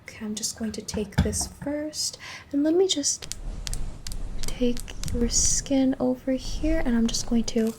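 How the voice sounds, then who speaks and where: High-voiced, soothing entity examiner, played by a woman, ASMR horror. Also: noisy background. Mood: soothing, clinical. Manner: soothing, reassuring, softly instructive, drifting into whispers. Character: gently unsettling female entity examiner preparing a human. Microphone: close ASMR microphone.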